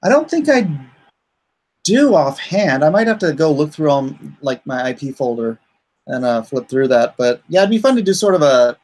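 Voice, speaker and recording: A man's voice: on the high side, big pitch swings, normal speed, darkish tone. A man hosting a casual livestream as a comic creator, rambling and thinking aloud as he talks through his campaign.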